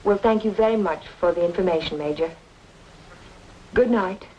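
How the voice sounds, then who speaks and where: An actress playing a polite, inquisitive young heroine, courteous and composed, in an old radio drama. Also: female voice, fairly low pitch, moderate pace, mellow, natural voice. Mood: earnest, polite. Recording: dull old radio recording, faint noise.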